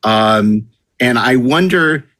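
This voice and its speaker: A man's voice: medium pitch, lively intonation, slow, a bit bright. Rambling male podcast host. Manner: rambling, repeats words while forming questions. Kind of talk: casual interview chat.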